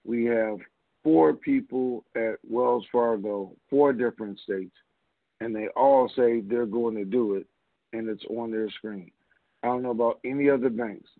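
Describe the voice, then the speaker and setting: A man's voice: medium pitch, expressive, unhurried, creaky, dry recording. A man hosting a casual question-and-answer conference call, talking in a blunt, offhand, informal way over a phone line.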